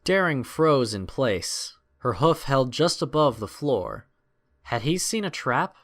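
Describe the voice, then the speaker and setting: A man's voice: mid-range voice, big pitch swings, slow pace, fairly bright tone. A man narrating a scripted adventure story in a dramatic storytelling style.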